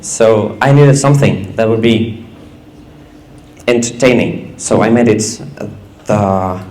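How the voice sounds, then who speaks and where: A young man giving a casual app demo on stage, halting with many fillers. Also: medium pitch, mellow, roomy sound, rough recording. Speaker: student presenter. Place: event stage.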